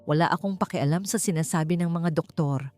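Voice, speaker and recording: A woman narrator plays a commanding wealthy man in an audiobook. He is terse, determined and protective, in a lowish voice over faint music. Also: a little fast, darkish tone, close to an everyday voice. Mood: defiant. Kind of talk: audiobook character acting.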